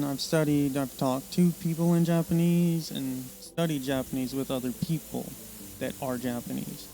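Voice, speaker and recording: An American man from the Missouri, Oklahoma and Arkansas region talking casually and unscripted about himself, rambling haltingly in short fragments. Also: fairly high pitch, mellow. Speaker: presenter introducing himself.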